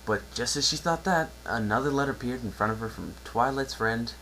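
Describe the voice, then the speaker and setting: A man's voice: mid-range voice, a little fast, pushed and bright, poor audio. A man narrating a story aloud as a dramatic amateur reading. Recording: faint background noise and hum.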